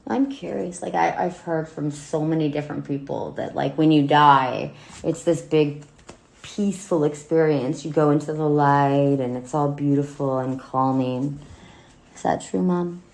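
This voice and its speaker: A woman acting a wounded, bitter grown daughter in a dramatic monologue, speaking haltingly and emotionally with a gravelly voice. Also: sits low, a little mellow. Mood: wry, grieving. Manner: halting, emotional, with wry laughs and swearing. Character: bitter, wounded grown daughter addressing her dead mother. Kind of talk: dramatic monologue cold read.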